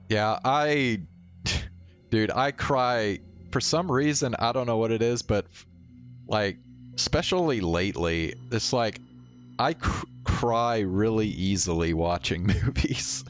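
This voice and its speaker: A man chatting casually as he streams a game. His voice is on the low side and very unhurried, laid-back and halting, with a slightly dull sound and soft music behind. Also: expressive. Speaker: livestreamer.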